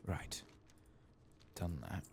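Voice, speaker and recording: A man doing soft-spoken ASMR game commentary, murmuring in a muted, unhurried way as he plays. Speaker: gameplay streamer. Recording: clearly audible background noise.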